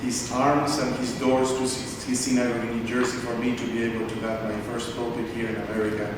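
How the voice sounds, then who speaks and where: Cantor in an echoey hall. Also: male voice, mid-range voice, flat delivery. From Argentina. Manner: heartfelt, grateful, slightly halting. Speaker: cantor accepting an award. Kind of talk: acceptance speech. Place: large synagogue hall. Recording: echoey hall from far off, noisy.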